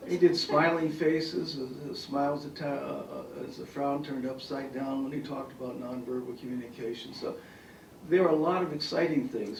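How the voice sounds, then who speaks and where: A retired professor in his later years speaks with a raspy, hoarse voice. He rambles through stories full of fillers. The sound is echoey and comes from across the room. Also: mid-range voice, darkish tone, recorded from a distance, slight background noise. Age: older. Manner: rambling anecdotes with frequent fillers. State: hoarse from bronchitis. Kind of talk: speaking at a farewell event. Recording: echoey hall recording from afar.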